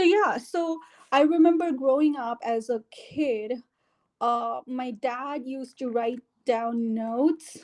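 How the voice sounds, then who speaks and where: A woman's voice: high-pitched, warm tone, rough recording. A middle-aged woman from India with an Indian English accent, telling her life story in an interview, with many fillers and restarts. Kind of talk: casual conversational interview.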